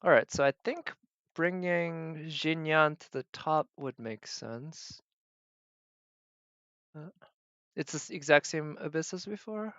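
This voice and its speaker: A woman giving casual livestream game commentary, thinking aloud in halting fragments as she plays. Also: sits low, a bit bright, no echo, dull audio. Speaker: game streamer.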